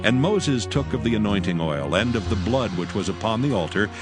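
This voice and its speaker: A man narrating scripture in a steady, reverent, measured way for a dramatized audio Bible. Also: fairly low pitch. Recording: narration over clear music. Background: music.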